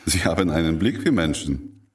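A genial old doctor in a radio drama. His very deep voice moves in lively swings, wry and affable, a little away from the mic. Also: moderate pace. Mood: modest, pleased. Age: older. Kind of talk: radio play acting. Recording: slightly distant from the mic.

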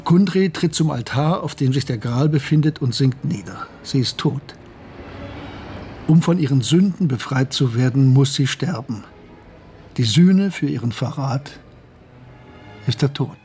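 An actor playing a reflective, betrayed older man in a radio drama, telling his story in a measured, literary way. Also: medium pitch, lively intonation, mellow, faint music behind. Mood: solemn, rapt. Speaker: narrator and lead character. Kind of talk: German radio drama monologue and dialogue.

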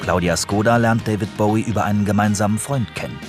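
A man's voice: lowish voice, quick pace, music in the background. A man narrating a scripted radio documentary.

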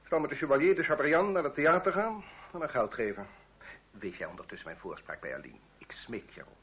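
Radio play lead: impulsive lovestruck aristocrat, very husky, muffled old recording. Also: male voice, mid-range voice, lively intonation, fast talker. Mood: resolute, disdainful. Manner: fervent, theatrical. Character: impulsive, lovestruck aristocrat, arrogant towards his mistress. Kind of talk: Dutch radio play acting.

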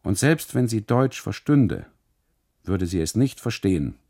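A man voicing a dry, unsmiling custodian in a radio monologue, curt, dismissive and deadpan. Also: fairly low pitch, measured pace, pitched above the performer's own voice, full character voice.

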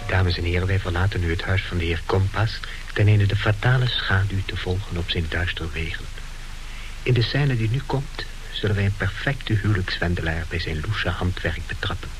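An actor as a deep-voiced, formal radio narrator. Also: male voice, moderate pace, natural voice. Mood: wryly ominous. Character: formal, wryly ominous male narrator addressing the audience. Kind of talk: scripted radio play narration. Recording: old hissy radio recording.

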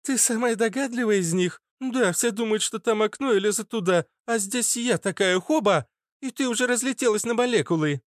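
A man voicing a defiant, foul-mouthed teenage girl with a squeaky, snappy voice in a narrated story. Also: moderate pace, no echo, studio quality, close to an everyday voice. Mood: sassy boasting. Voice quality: squeaky, shrill. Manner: snappy, hissing, insolent.

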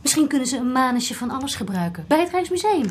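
A woman's voice: sits high, big pitch swings, fast, a little hiss or hum. An actress playing a down-to-earth young female student, casual and coaxing, in a modern radio drama. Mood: encouraging. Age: young adult.

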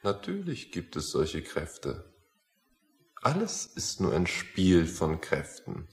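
A man acting an enigmatic, quietly determined philosophizer in a radio play, speaking in a cryptic, measured manner. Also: low-pitched, huge pitch swings, exaggerated voice. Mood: cryptic, insistent.

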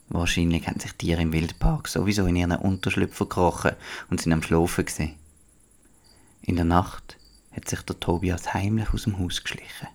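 A man's voice: low voice, vocal fry, a little mellow. A man with a Swiss German accent narrating a scripted children's story.

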